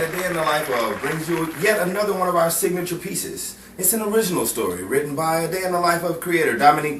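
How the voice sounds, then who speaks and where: A man's voice: fairly high pitch, a bit bright. A man giving a scripted, dramatic introduction as narrator of a show. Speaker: show narrator and cast member. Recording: roomy, distant mic with faint noise.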